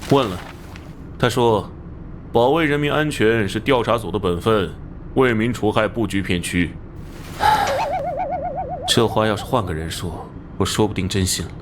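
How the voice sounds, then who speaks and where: Actor as low-voiced male police detective. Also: normal speed, pauses often, noisy background, natural voice. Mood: skeptical. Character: gruff male police detective captain, adult. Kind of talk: animated crime drama voice acting. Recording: background music under the voice.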